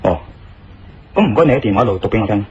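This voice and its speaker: An actor playing a thoughtful, curious male investigator in a Cantonese audio drama, with a Hong Kong accent and expressive, earnest delivery. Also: on the low side, fast, a crowd in the background, natural voice. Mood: inquisitive. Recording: slightly muffled radio drama, music underneath.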